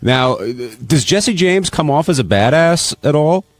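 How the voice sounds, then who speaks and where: A man's voice: a little high, lively intonation, unhurried, no echo. A man hosting a radio recap, conversational, steering the talk with questions and commentary. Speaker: radio host.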